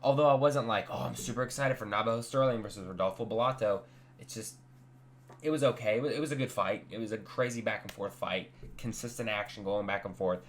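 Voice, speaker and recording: A young man with a stuffy, congested voice, giving a blunt, rambling reaction to a night of fights. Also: lowish voice. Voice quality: nasal, stuffy. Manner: blunt, offhand, rambling with restarts. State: congested after sickness. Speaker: MMA fight reaction host. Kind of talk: casual post-fight reaction chat.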